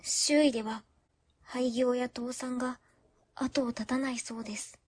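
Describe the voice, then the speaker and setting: A woman's voice: a little high, steady tone, measured pace, a little mellow, breathy edge, no echo. A woman playing a shy, polite young office worker who reports formally in a radio drama. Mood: subdued, serious.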